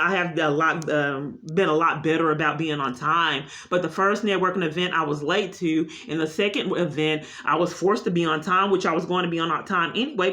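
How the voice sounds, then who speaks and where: A woman's voice: fairly low pitch, sharp tone. A woman caller speaking unscripted in an insistent, long-winded complaint, often repeating herself.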